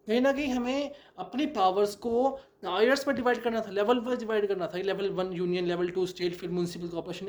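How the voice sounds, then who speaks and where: A man's voice: high voice, a little mellow. A young man giving a law lecture, asking rhetorical questions and answering them himself, with many English terms mixed in. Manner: mixes English terms into Hindi. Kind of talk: explanatory lecture.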